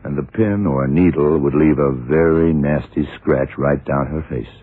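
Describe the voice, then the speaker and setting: An actor playing a shrewd, worldly, commanding male detective who explains deliberately, in the style of vintage radio drama. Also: extremely low pitch, breathy edge, no echo. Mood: patient, knowing. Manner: deliberate, hard-boiled explaining. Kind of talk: 1960s-70s radio drama acting. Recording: muffled, narrow-band vintage radio recording.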